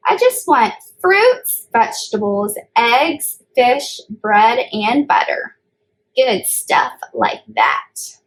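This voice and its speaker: A woman's voice: fairly high pitch, very animated, very slow, full character voice, poor audio. A woman voicing a young boy goat in a children's storybook, a fussy but polite eater who sounds plaintive and earnest.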